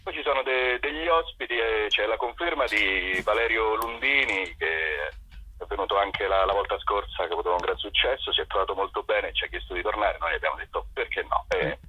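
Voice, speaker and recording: A man's voice: fairly low pitch, fairly quick, dull audio, slight background noise. A man talking casually as an interview guest, in a playful, hesitant way, often repeating and restarting his phrases. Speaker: actor and author, interview guest.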